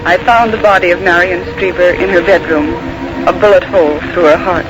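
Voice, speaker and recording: An actress playing an anxious, devoted secretary in 1940s radio drama, earnest and melodramatic. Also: female voice, fairly low pitch, steady tone, brisk, close to an everyday voice. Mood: haunted narration. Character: anxious, devoted female secretary, fearful and pleading. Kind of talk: 1940s radio acting, dramatic first-person narration. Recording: noisy vintage 1940s radio recording.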